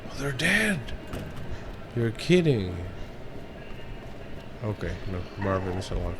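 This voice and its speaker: A man with a fairly low voice talks over very loud background noise. He is drunk and rambles through casual gameplay commentary with plenty of swearing. His English carries the accent of a native Spanish speaker. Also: big pitch swings, slow pace. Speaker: streamer, speedrunner.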